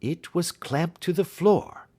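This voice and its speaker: A man voicing a keen, assured detective in an audiobook, explaining things precisely and deliberately. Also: a little high, mellow, voice pushed up. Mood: keen, reasoning. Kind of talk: audiobook character dialogue.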